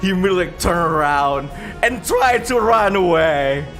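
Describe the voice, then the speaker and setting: A man running an improvised tabletop game on a livestream as game master, telling the story in a halting way while thinking aloud. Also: sits high, expressive, fairly bright tone, soft background music.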